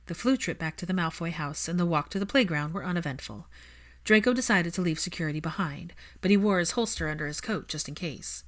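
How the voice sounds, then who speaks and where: A woman's voice: on the low side, brisk. A woman reading a story aloud as a narrator, in scripted third-person prose. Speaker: fan fiction narrator.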